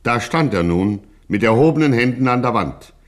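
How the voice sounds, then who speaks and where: A man's voice: mid-range voice, lively intonation, moderate pace. A man narrating a scripted radio satire in a dramatic, solemnly pompous, mock-heroic manner.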